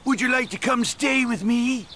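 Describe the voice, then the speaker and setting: A man's voice: very high voice, normal speed. An actor playing a kindly, delighted old grandfather in a video game, cooing and musing aloud. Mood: delighted surprise. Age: older. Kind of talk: animated video game voice acting.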